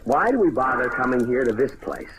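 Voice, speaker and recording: An actor playing a worried, skeptical sidekick who complains doubtfully in an old-time radio mystery. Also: male voice, mid-range voice, sing-song, brisk, lightly acted. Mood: exasperated, doubtful. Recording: noisy old radio recording.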